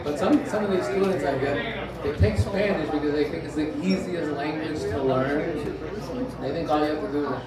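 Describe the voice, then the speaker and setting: A man from New Jersey works through a stand-up set in a dry, self-deprecating way, letting small pauses hang between jokes. His voice sits a bit high for a man. He is picked up from a distance in a very echoey club, with big waves of audience laughter around him. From New Jersey, USA. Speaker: stand-up comedian. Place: comedy club stage. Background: loud crowd laughing.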